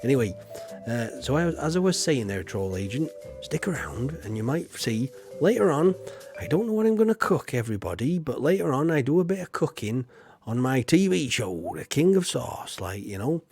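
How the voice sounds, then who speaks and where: A man's voice: medium pitch, very animated, normal speed, darkish tone, faint music behind. A man hosting a livestream, chatting to viewers in a rambling, folksy way full of filler. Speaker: livestream host. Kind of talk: casual livestream chat to viewers.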